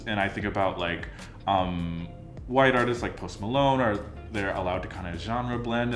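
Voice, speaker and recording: A male interviewer with a lowish voice, asking questions in a measured, supportive way. He keeps backing up his guest with quick agreements. His voice carries a noticeable echo from the room. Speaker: interviewer, host.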